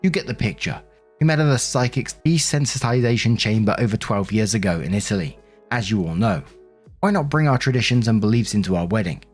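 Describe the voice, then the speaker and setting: A man's voice: on the low side, animated, a little fast, faint music behind. A man reading out the posts of an indignant, bossy bride in a self-righteous, emphatic manner. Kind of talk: dramatic reading of social media posts.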